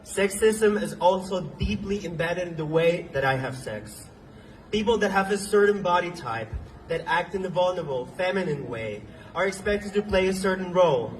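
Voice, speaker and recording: A man's voice: high-pitched, animated, slight background noise. Man speaking at an outdoor rally. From Venezuela. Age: young adult. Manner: earnest, halting at times, with ums and restarts. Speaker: speaker at a protest march. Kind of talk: impassioned rally speech. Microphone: phone recording from the crowd. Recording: distant phone recording outdoors.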